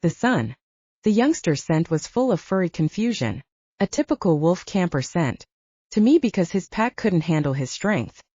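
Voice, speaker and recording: Lady reading an audiobook story, mellow lowish voice, really animated delivery, first person fiction. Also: normal speed, slightly rough, no echo, dull audio. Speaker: audiobook narrator.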